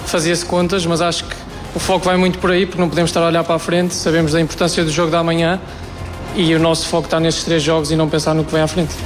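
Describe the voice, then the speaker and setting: Rapid-fire footballer, flat voice. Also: male voice, fairly high pitch. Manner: rapid, repeats words. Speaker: football player. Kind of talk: press conference answers. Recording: hall reverb with loud music.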